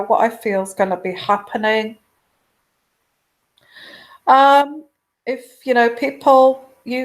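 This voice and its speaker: A woman hosting a live tarot show, speaking quietly through a sore throat from a virus, hesitant with many pauses and restarts. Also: medium pitch, rough recording. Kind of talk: casual live-stream chat.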